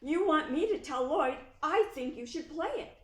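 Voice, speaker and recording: A woman playing a composed, well-meaning playwright's wife in a measured, theatrical radio play style. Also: high voice, animated. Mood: patronizing, kind. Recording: roomy echo, faint noise.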